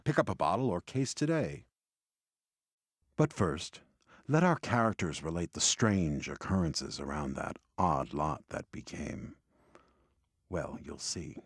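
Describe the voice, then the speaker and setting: A man hosting and narrating a radio ghost-story show with dramatic, hushed storytelling. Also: deep voice, lively intonation, measured pace, long pauses, slightly rough, no echo, clean studio sound. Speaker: narrator and host.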